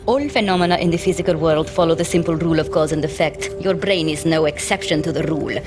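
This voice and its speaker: A woman's voice: low voice, fast talker, lightly acted. A woman playing a haunted, fatalistic scientist in a video game, with clipped, intense, formal phrasing. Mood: scornful, fatalistic. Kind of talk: video game cutscene acting. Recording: loud music behind the voice.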